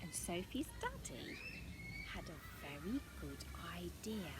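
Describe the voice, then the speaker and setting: Female storyteller for young children, very lively intonation, unhurried, chatty questioning asides, noticeable background noise. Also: lowish voice. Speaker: storyteller reading a picture book. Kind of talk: expressive read-aloud for young children with asides.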